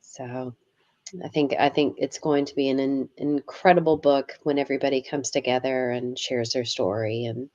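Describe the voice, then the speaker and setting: A woman's voice: extremely low pitch, steady tone, normal speed, a little mellow, muffled recording. An earnest woman guest talking about her experiences, often repeating words as she starts a phrase. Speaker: guest, yoga teacher and author. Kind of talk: casual interview conversation.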